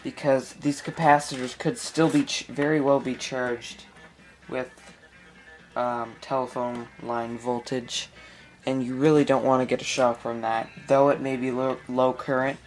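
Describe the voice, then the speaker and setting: A man giving a casual hands-on electronics tutorial, speaking haltingly as he thinks aloud while working. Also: mid-range voice, flat delivery, faint music behind. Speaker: electronics hobbyist presenter. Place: small indoor room at a workbench.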